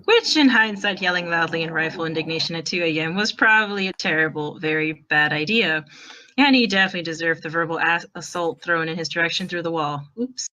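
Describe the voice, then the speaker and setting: A woman with a fairly low, bright and forceful voice reads as a narrator. She delivers fan fiction prose expressively, as in an amateur audiobook. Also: brisk, poor audio.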